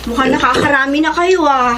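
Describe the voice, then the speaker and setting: A woman's voice: sits high, a little fast, background noise, close to an everyday voice. A woman playing an earnest young heroine with a Filipino accent, acting and narrating her own story emotively in a radio drama. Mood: protesting. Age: young adult. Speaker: lead actress and narrator.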